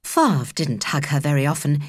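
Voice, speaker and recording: A woman's voice: low-pitched, lively intonation, moderate pace, darkish tone, husky, dry recording, studio quality. A woman with a British accent reading a children's story as an audiobook narrator.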